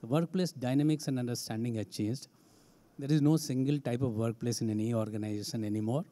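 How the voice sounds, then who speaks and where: A man's voice: medium pitch, brisk, mellow. A man with an Indian English accent speaking emphatically on a conference panel as an HR head, into a handheld mic on a large stage. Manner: emphatic, repetitive phrasing. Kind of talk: panel discussion answer.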